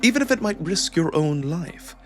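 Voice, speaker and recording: A man voicing a suave young jackal prince who speaks in a measured, smug, theatrically polite way. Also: on the high side, lively intonation, a bit bright, faint music behind, voice pushed up. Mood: measured, cautioning.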